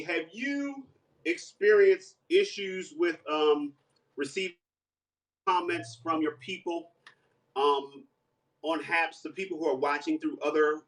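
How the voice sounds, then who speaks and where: A man with a fairly high voice chats easily while he cooks, laughing often and slipping into bits of playful song. He stands some way from the mic, and the kitchen around him sounds echoey. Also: a bit bright. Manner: chatty, laughs often, breaks into playful singing. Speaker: guest live streamer and singer. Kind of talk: casual live-stream chat while cooking. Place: home kitchen. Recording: echoey room, voice far from mic.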